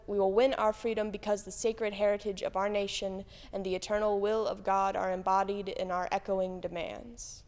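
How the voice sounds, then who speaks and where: A woman reading quotations aloud in a solemn, measured, declarative way. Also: mid-range voice. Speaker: church member reading aloud. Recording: long echoing reverb.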